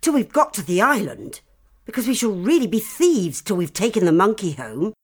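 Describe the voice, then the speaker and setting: A storyteller voicing a brisk, kindly, proper aunt in a children's storybook, with an exclamatory, old-fashioned manner. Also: mid-range voice, lively intonation, breathy edge, pitched above the performer's own voice. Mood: brisk urgency.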